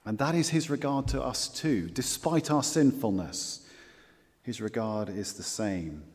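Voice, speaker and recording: A man preaching a sermon as pastor, earnest and measured, repeating key phrases and slowing down for scripture quotes. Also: medium pitch, lively intonation, long reverb tail.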